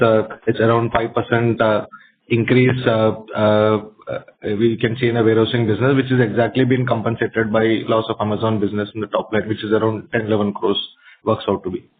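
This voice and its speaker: A company executive, a man, giving halting, piecemeal answers on an earnings call over a noisy phone line. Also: lowish voice. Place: conference call. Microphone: telephone.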